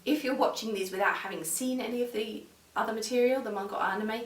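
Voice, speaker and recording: A woman giving a casual, conversational video review in a measured way, talking through her opinions. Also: on the high side, a little hiss or hum. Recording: echoey room, distant microphone.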